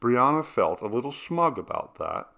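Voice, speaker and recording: Gravelly man reading a story. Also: mid-range voice, big pitch swings, normal speed, sharp tone. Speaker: audiobook reader. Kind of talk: narrated short fiction reading.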